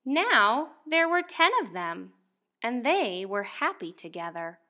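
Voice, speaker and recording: A young adult woman, a first grade teacher, speaking expressively and clearly to young children as in a picture book read-aloud. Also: a little high, very animated, takes it slowly, sharp tone.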